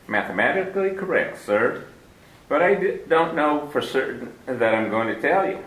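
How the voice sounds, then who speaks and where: An actor playing a genial, wordy middle-aged crook who talks formally and flatters, in 1940s radio style. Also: male voice, medium pitch, moderate pace, close to an everyday voice. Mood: smooth, scheming. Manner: florid, flattering, overly formal with many sirs. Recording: roomy echo.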